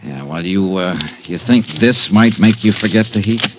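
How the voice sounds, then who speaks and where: An actor playing a wry, hard-boiled American investigator with a dry, clipped manner, in old radio drama style. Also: male voice, on the low side, moderate pace, a little hiss or hum, lightly acted. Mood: brisk, probing. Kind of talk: 1950s radio drama acting and noir narration.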